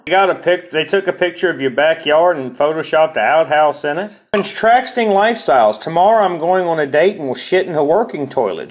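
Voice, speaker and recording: A man's voice: a little high, dull audio. A man with a mocking manner gives an informal dramatic reading of online comments.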